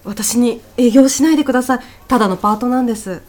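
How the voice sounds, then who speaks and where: A woman plays a part-time supermarket cashier in a comedy radio sketch. Her voice sits a little high, and she keeps up courteous shop-counter manners while sounding bewildered and wary. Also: quick pace, darkish tone, natural voice. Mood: wary, exasperated. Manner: courteous service speech, wary and exasperated. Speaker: podcast actor. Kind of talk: comedy radio drama acting.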